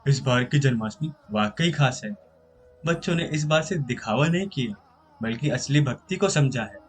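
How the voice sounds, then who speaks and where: A man's voice: medium pitch, animated, normal speed, slightly rough. A man voicing a loving, worried village father in a moral story, earnest and thoughtful.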